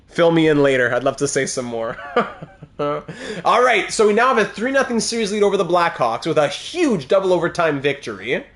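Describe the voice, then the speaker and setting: Chatty male hockey game streamer. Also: high-pitched. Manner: chatty, reads chat aloud, excited calls of game plays. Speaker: gaming streamer playing a hockey franchise mode. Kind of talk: live-stream commentary over gameplay.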